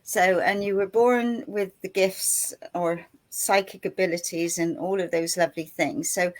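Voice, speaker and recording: A middle-aged woman hosting an interview show, bubbly and chatty, often laughing. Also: fairly low pitch. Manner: bubbly, laughing, warm greetings. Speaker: host. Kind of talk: casual interview talk.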